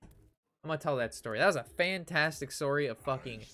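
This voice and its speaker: A man's voice: a little high, a little fast, pushed and bright. A young American man telling a casual, rambling story to his stream chat, swearing freely and exaggerating for comic emphasis. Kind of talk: casual anecdote told to chat while gaming.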